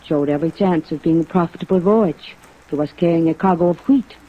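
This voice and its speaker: Woman acting a cool hard-headed businesswoman, deliberate, guarded, old radio drama, dull band-limited sound, rain behind. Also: lowish voice, lightly acted.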